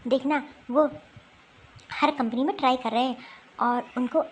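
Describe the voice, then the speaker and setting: Woman playing a high-voiced wronged young wife. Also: fairly even intonation, measured pace, husky. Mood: protesting. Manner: pleading, protesting, explanatory. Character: wronged young wife, honest, firmly defending herself. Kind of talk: Hindi cartoon family drama acting.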